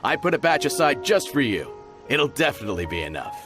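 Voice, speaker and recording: A man playing a genial, generous middle-aged merchant in a video game, talking in a familiar, expressive way. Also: medium pitch, brisk, over music, lightly acted. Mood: amused, welcoming.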